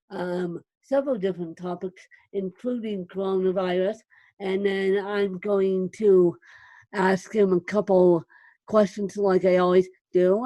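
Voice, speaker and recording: A woman hosting an interview podcast, speaking deliberately as she puts questions to a guest. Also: mid-range voice, mellow, dry recording. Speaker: podcast host.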